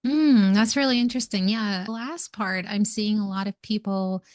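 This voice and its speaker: A woman's voice: medium pitch, normal speed, no room sound, studio quality. A woman hosting a conversational interview, introducing and questioning her guest. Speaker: podcast host, organizational coach.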